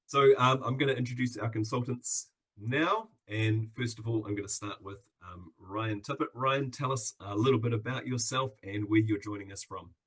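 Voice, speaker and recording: A man's voice: mid-range voice, moderate pace, few pauses, a bit bright. A man with a New Zealand accent hosting a live video-call Q&A in an upbeat, chatty way, with plenty of fillers. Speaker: webinar host.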